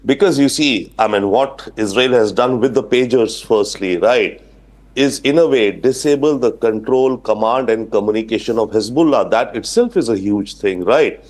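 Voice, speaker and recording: A man's voice: mid-range voice, moderate pace, a little mellow. A man with an Indian accent giving explanatory live news analysis as an expert guest. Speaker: international affairs expert guest.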